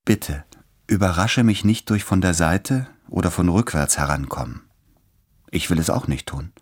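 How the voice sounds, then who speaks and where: A man's voice: sits low, animated. An actor playing a weary, anxious man of thirty-eight in a brooding, intimate radio drama monologue. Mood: tender, intimate. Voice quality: gravelly, husky. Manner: brooding, hushed, deliberate.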